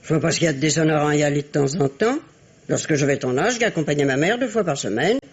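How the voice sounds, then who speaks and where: An actress playing a proud, dutiful old grandmother in a radio drama, with a formal, moralizing manner and husky voice. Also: sits low, quick pace. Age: older. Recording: dull vintage radio recording.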